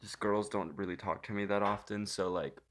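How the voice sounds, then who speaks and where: A young man in his twenties co-hosting a podcast, trading crude, deadpan jokes in casual banter. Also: lowish voice, normal speed, a little hiss or hum. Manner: deadpan, vulgar, provocative. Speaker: podcast co-host. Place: small studio room and outdoors in woods. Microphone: desk mic on boom arm, handheld mic outdoors.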